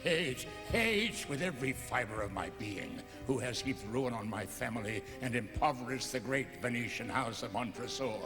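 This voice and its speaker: Man acting vengeful gothic nobleman, wildly animated. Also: mid-range voice, normal speed, hardly any pauses, close to an everyday voice. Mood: vengeful, seething. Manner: theatrical, brooding, obsequiously sly. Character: vengeful Venetian nobleman narrator, seething beneath false courtesy. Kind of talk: melodramatic gothic radio drama narration and dialogue. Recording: music under the voice.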